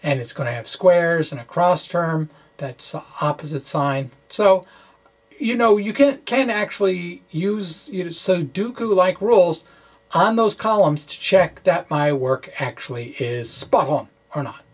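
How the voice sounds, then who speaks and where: A man giving an informal science lecture in a conversational, somewhat halting way with chatty asides. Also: sits high, expressive, husky. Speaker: physics explainer.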